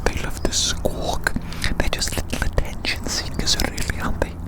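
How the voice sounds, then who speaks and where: An ASMR performer whispering a gentle medical roleplay, breathy and soothing, repeating phrases in polite, quaint wording. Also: normal speed. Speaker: ASMR performer playing an examiner of a tiny creature.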